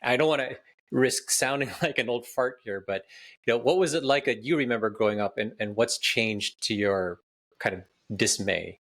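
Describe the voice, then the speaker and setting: A man's voice: mid-range voice, normal speed, a bit bright. A man hosting a podcast interview, asking long, conversational questions. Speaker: podcast host.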